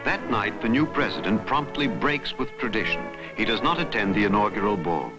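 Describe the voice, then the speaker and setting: A man narrating a historical documentary in a solemn, dramatic, measured newsreel style. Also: lowish voice, animated, rough recording. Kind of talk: scripted historical documentary narration, mid-century newsreel manner. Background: music.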